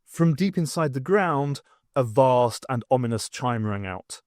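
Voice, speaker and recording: A man's voice: mid-range voice, lively intonation, unhurried, a little mellow, no room sound, clean studio sound. A man reading scripted, dramatic narration as an audiobook narrator.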